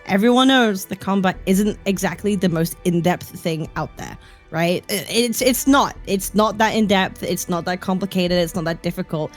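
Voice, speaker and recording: A woman's voice: mid-range voice, normal speed, soft background music. A woman guest on a casual gaming podcast, talking emphatically and swearing casually.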